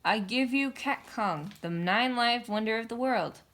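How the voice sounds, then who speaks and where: A woman's voice: fairly high pitch, moderate pace, a bit bright, pitched above the performer's own voice, natural voice. A woman voicing a greedy, pompous male mouse doctor from a storybook, proclaiming like a showman. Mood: showy, announcing.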